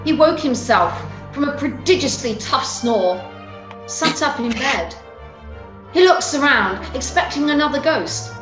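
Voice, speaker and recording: A woman's voice: high voice, animated, measured pace, music in the background. A woman narrating a story as part of an amateur radio play. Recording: roomy home video call.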